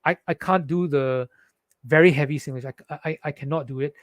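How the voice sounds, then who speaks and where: A man's voice: on the high side, brisk, no room sound, clean studio sound. A man from the Philippines who speaks with a Singaporean English accent, ranting indignantly to his viewers and often restarting his sentences. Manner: indignant, heated, with frequent restarts. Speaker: vlogger. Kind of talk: ranting monologue to camera.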